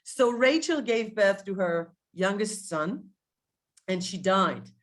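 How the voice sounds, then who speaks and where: A woman's voice: medium pitch, animated, slow pace. Israeli woman giving an online tour. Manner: hesitant, with frequent ums and restarts. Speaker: tour guide and educator. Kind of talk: live online virtual tour talk. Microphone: video call.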